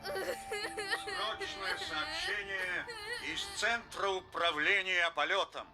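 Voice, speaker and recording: A man voicing a solemn, triumphant space-mission announcer in an animated film, reading a declamatory, ceremonious bulletin. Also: extremely high pitch, slow, vocal fry, music in the background, heavily acted. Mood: grave, urgent. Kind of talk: dramatic scripted bulletin.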